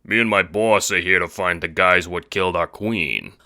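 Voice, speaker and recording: A man playing a big, gruff but friendly and bashful henchman in an audio drama. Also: sits low, normal speed, bright, forceful tone, exaggerated voice. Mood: amiable.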